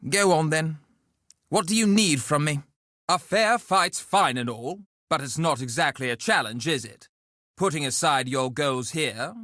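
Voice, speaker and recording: An actor playing a cocky, loquacious duelist and schemer in a video game, with a wry, flippant, theatrical delivery. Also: male voice, a little high, fairly bright tone, no room sound, clean studio sound. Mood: rueful, resigned, then wry, persuasive.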